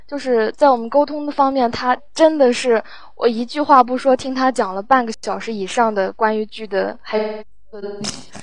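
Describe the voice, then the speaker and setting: Hesitant woman, higher-pitched, close dry sound. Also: steady tone, dry recording. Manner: hesitant, halting. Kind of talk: casual group chat, recounting production work.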